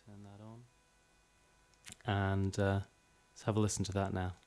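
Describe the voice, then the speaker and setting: A man's voice: fairly low pitch, level tone, a little slow, a little mellow. A man presenting an informal music production tutorial, explaining step by step in a slightly hesitant way. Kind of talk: informal software tutorial demonstration. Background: drum and music playback.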